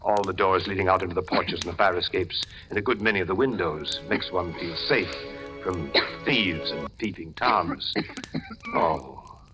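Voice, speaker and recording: An actor playing a deranged, menacing hotel keeper who rambles in a folksy, deceptively solicitous way, in an old-time radio horror drama. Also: male voice, low-pitched, moderate pace, close to an everyday voice, low-quality recording. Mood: falsely solicitous. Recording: vintage radio drama, music underneath.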